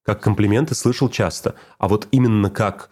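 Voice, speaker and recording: A man's voice: on the low side, normal speed, a little mellow. A man talking casually and reflectively, often repeating phrases for emphasis. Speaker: teacher of speech exercises.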